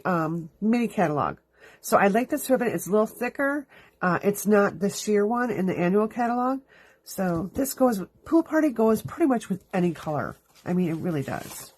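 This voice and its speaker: A woman hosting a live card-making demonstration from her craft room, stuffy and sniffling from allergies, chatting through each step as she works. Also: mid-range voice. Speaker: crafting host. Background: dog dragging its bed around.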